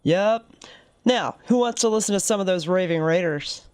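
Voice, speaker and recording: A woman's voice: lowish voice, normal speed, a little mellow, dry recording, natural voice. A woman playing a brave, wry wasteland wanderer in an audio drama, casual and matter-of-fact. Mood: amused, relaxed. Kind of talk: post-apocalyptic audio drama acting.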